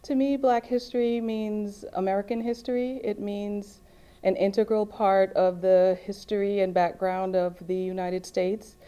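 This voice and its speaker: Mellow, composed woman professor. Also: medium pitch, steady tone, unhurried, smooth, dull audio. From Jamaica. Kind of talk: interview reflection.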